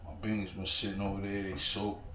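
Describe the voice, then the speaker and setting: Slangy New York man, hoarse, echoey kitchen. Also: low-pitched, monotone, brisk. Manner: laid-back slangy chatter to viewers. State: slightly hoarse, froggy voice. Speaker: home cooking vlogger. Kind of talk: casual cooking vlog talk. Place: home kitchen. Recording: echoey room with background noise.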